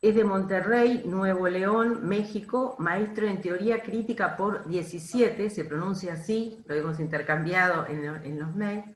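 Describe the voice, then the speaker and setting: A woman's voice: medium pitch, unhurried, hardly any pauses, a little hiss or hum. A woman moderating a conference panel, introducing speakers in a formal, slightly hesitant manner. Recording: echoey room sound.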